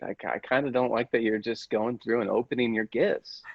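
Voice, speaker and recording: A man playing a sheepish, defensive boyfriend who stammers haltingly through an improvised comedy sketch. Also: medium pitch, steady tone, vocal fry, no room sound, lo-fi sound. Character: sheepish, defensive boyfriend obsessed with robot gadgets.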